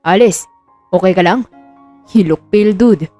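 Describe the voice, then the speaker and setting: A woman's voice: mid-range voice, a little slow, warm tone, soft background music, close to an everyday voice. A woman playing a worried, loyal male sidekick, serious and watchful, in a romance audio drama.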